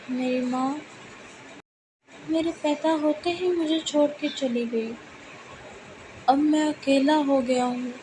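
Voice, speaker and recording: A woman's voice: high voice, noisy background. A woman voicing a lonely, naive young boy in a children's storybook tale, with a plaintive manner.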